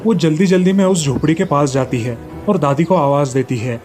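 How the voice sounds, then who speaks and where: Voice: mid-range voice, brisk, darkish tone, soft background music. A narrator reading a scripted moral story in storybook style.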